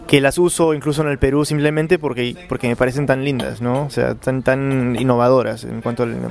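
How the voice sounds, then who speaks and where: A man's voice: medium pitch, steady tone, a little fast, creaky, a little mellow. Hesitant man answering at length. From Peru. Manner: thoughtful, many hesitations and repeated words. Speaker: interviewed novelist. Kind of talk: unscripted interview answers.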